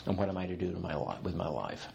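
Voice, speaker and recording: Deep-voiced man in his sixties. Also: sing-song, fast talker, vocal fry, a little mellow, a little hiss or hum. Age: 60s. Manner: reflective, rambling with restarts, occasional laughs. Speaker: executive coach, guest. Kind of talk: interview conversation.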